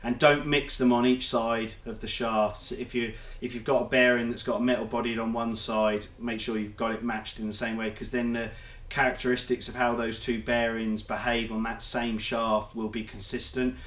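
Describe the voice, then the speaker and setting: Man, stuffy nasal voice from a cold, flat steady delivery, roomy workshop echo. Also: medium pitch, normal speed. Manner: methodical, explanatory, with fillers. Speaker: motorcycle engine builder. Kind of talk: workshop how-to vlog.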